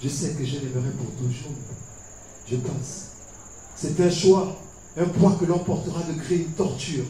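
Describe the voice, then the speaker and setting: A man from Gabon performing slam poetry as dramatic storytelling, with theatrical rhythm, deliberate repetition and pauses. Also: a little high, fairly even intonation, warm tone. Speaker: slam poet. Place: live poetry competition stage. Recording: very echoey, distant mic, faint noise.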